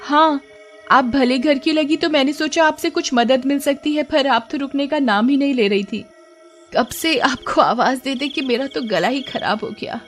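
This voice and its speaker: A woman's voice: sits high, normal speed, soft background music, voice pushed up, natural voice. A woman voicing a witch disguised as a needy woman, pleading and ingratiating in a horror storybook tale.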